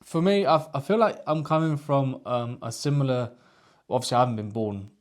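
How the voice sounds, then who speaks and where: A man's voice: medium pitch, a little fast. Hesitant man. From Essex, England. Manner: hesitant, many restarts, laughs at himself. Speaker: vlog co-host. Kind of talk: casual chat.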